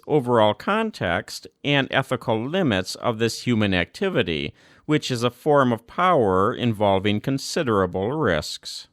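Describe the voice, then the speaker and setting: A man reads a formal written text as a narrator, in a measured and scripted way. His middle-pitched voice moves animatedly up and down across phrases. The sound is dry, with no sense of room around it. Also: a bit bright, no room sound. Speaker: audiobook narrator. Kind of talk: scripted narration, reading a formal document aloud.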